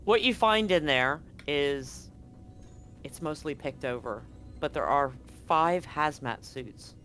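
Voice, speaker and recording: A man narrating a tabletop horror game as game master, in a relaxed, descriptive way. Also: a little high, slow, faint music behind.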